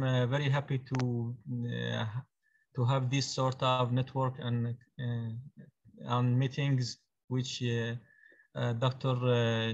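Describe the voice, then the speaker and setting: A man introduces his postdoctoral work in halting phrases full of fillers. His voice comes through a dull, lo-fi meeting connection. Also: medium pitch. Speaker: postdoctoral researcher.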